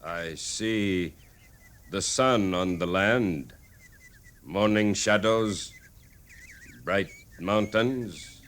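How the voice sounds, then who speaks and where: A proud stern war chief, old radio western, deep voice, really slow halting words. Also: male voice, fairly bright tone, heavily acted. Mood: solemn. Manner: solemn, terse, halting stilted phrasing. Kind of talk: 1950s radio Western acting. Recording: vintage radio drama recording.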